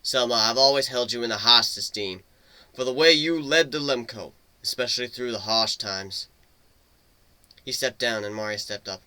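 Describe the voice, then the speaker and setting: A man voicing a gruff, rousing griffin crew leader in a dramatic reading, with solemn, emphatic oratory. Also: medium pitch, moderate pace, sharp tone, no room sound. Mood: solemn respect. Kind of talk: dramatic reading of fan fiction.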